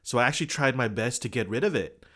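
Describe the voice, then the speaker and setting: A man from Indonesia hosting a podcast, talking in a halting, heartfelt way. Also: mid-range voice, animated, sharp tone. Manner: halting, many fillers and restarts, heartfelt. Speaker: podcast host. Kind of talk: podcast conversation.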